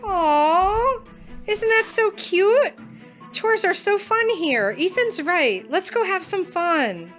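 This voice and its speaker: A woman's voice: very high voice, sing-song, slow, fairly bright tone, faint music behind, full character voice. A woman playing an upbeat, earnest young cadet in an audio drama, speaking eagerly and sincerely. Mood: gushing, playful.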